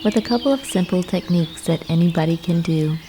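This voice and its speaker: A woman narrating a guided meditation, giving gentle, soothing instructions with unhurried pauses. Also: fairly low pitch, noisy background. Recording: clear nature ambience with birdsong. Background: birdsong and nature ambience.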